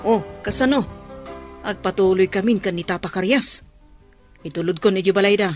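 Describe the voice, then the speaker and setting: A woman acting an excitable, easygoing motorbike rider in a village radio comedy drama, exclaiming with drawn-out delight. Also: mid-range voice, faint music behind, lightly acted.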